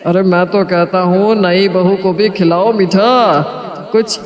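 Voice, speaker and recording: Man playing stubborn family man, slow delivery, melodramatic, hammy story dialogue, echoey hall reverb. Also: sits high, steady tone, a little mellow, some chatter behind. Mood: dismissive, breezy.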